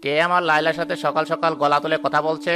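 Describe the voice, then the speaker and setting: A man's voice: a little high, level tone, fast, over music, close to an everyday voice. A man playing a hot-headed, doting younger brother in a melodramatic cartoon, indignant and threatening. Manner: aggressive, indignant. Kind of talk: melodramatic cartoon story dialogue.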